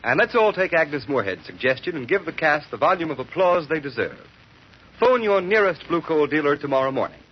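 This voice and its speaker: High-pitched American radio announcer, a man. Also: very animated, a little fast, a little hiss or hum. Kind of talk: formal 1930s radio announcing. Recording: dull, muffled old radio recording.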